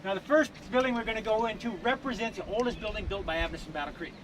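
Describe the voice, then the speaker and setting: A man leads a tour group through a historic building, telling its stories quickly in a folksy, joking way. His voice sits a little high. It sounds roomy and distant, with noise behind him. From Michigan, USA. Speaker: tour guide and village director. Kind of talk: guided heritage tour talk. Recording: roomy echo, far from the mic, noise behind.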